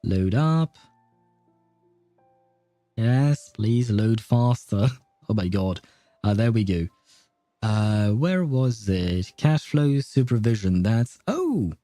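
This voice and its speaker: A man's voice: fairly low pitch, big pitch swings, slow pace, long pauses, dark tone, no room sound, faint music behind. A man livestreaming a video game, chatting to viewers in an informal, wry way. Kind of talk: casual live gaming commentary, chatting with viewers.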